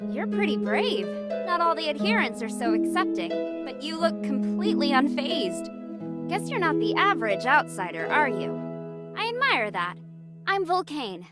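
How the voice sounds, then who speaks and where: A woman's voice: high voice, big pitch swings, moderate pace, over loud music. A woman playing a friendly, self-assured blacksmith in a video game, breezy and informal. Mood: friendly, upbeat.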